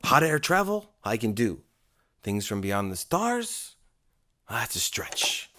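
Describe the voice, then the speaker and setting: A man's voice: fairly low pitch, sing-song, takes it slowly, sharp tone, exaggerated voice. An actor playing a weary, sardonic male scriptwriter in an audio drama. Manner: dry, skeptical, grumbling.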